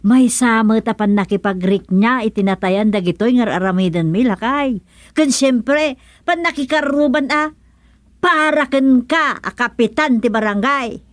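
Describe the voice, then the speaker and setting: An actress playing a fussy, chiding village wife and peacemaker in a radio comedy-drama, with an Ilocano accent. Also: female voice, a little high, measured pace, dry recording, clean studio sound, natural voice.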